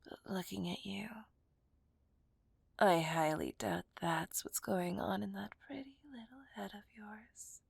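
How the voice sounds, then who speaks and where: A woman playing a seductive, menacing villain kidnapper in a whispered ASMR roleplay, breathy, teasing and possessive. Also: medium pitch, dry recording, exaggerated voice. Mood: smug, possessive. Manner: slow, teasing, dominant.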